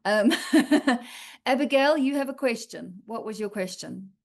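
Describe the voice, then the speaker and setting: A woman's voice: on the high side, expressive, a little slow, creaky. A woman hosting a writing feedback session on a video call, chatting casually, reading out comments and reassuring writers with frequent "okay?" check-ins. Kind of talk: casual live chat reading and answering comments.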